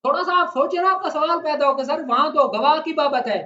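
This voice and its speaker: A Pakistani man teaches like a law lecturer, talking directly to his listeners and putting questions to them. His voice is strikingly high-pitched and forceful. The sound is dull and lo-fi, as if captured in a roomy space. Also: a little fast. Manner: conversational, puts questions to listeners. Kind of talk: explanatory lecture with everyday examples. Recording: echoey room, dull lo-fi sound.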